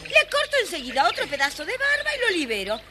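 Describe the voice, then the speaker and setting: Very high, excitable fairy-tale girl. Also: fast talker, heavily acted. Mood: excited concern. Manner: exclamatory, wide-eyed. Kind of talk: children's audio storybook acting. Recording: very noisy old children's record.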